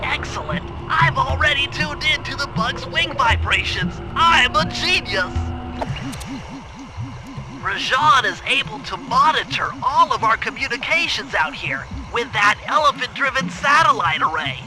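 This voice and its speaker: A man's voice: very high-pitched, expressive, unhurried, sharp tone, faint music behind, heavily acted, low-quality recording. A man voicing a nerdy, brainy young cartoon turtle who briefs heist plans in a precise, pedantic, nasal voice. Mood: proud, then instructing. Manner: precise, pedantic, wordy, self-assured. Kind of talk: scripted cartoon game mission briefing.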